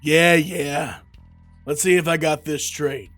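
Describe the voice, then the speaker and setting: Man playing high-voiced, sarcastic, impatient cop. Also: fairly even intonation, slow pace, a bit bright, soft background music. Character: gruff, sarcastic, short-tempered male police detective. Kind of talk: comic book audio drama.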